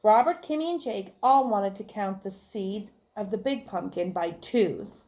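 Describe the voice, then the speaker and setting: A woman's voice: medium pitch, a little slow, dark tone. A woman reading a children's story aloud like a teacher, expressively, with chatty asides to the listeners. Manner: clear storytelling with acted-out dialogue and questions to listeners.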